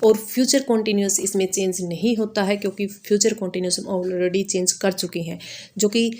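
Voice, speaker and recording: A woman's voice: medium pitch, steady tone, normal speed, dark tone. A woman with an Indian accent teaching a grammar lesson, explaining step by step in an instructional, repetitive way and checking that listeners follow. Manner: instructional, repetitive, checking understanding with short tags.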